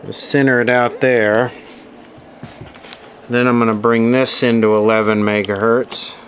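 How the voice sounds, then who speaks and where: A man's voice: medium pitch, flat delivery, a little slow, a little hiss or hum. A man casually demonstrating a radio repair at his workbench, explaining each step plainly as he works. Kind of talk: casual hands-on workshop demo.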